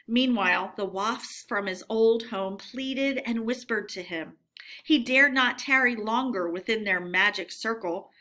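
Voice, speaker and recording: A woman reading aloud as a teacher, narrating a storybook expressively and deliberately. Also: fairly high pitch, sharp tone.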